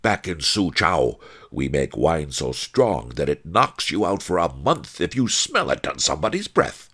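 Storybook heavy, voiced by a male narrator: blustering thug, boastful and roaring, lively swoops in pitch. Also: unhurried, husky, exaggerated voice. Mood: belligerent boasting.

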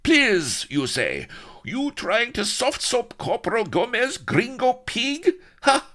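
A man's voice: very high-pitched, huge pitch swings, slow pace, pushed and bright, pitched above the performer's own voice, full character voice. A man voicing a sneering, bullying Spanish corporal in broken English with a Spanish accent, for a comic story. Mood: indignant roaring. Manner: broken English, roaring and scornful.

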